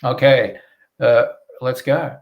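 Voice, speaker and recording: A man's voice: mid-range voice, steady tone, takes it slowly, highs cut off. A man, an author talking casually and reading his crime fiction aloud. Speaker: author, interview guest.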